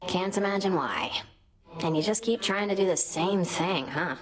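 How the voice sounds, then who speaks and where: A blasé young ghost girl from a video game, acted by a woman, talks in a lowish voice. She is deadpan, nosy and sarcastic in a casual way. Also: normal speed, lightly acted. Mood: blasé, teasing.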